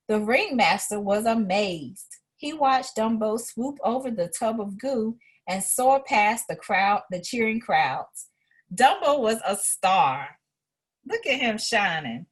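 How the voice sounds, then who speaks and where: A woman reading a children's story aloud in an expressive way, as an educator would to a young listener. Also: on the high side, husky.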